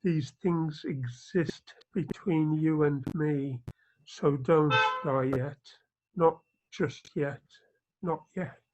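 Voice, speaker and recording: A man reading his poem over a video call with unhurried, drawn-out phrasing, dull lo-fi audio. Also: fairly high pitch, a little mellow. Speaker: poet reading. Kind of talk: slow poetry reading.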